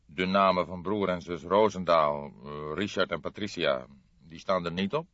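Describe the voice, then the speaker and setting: A man voicing an old grizzled police detective in an audiobook, calm, dry, wry and deliberate. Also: low voice, no room sound, highs cut off. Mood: matter-of-fact.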